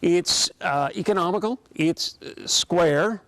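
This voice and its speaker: A man's voice: high voice, slow pace, fairly bright tone, dry recording. An American man delivering scripted TV reporting and reviews as a reporter.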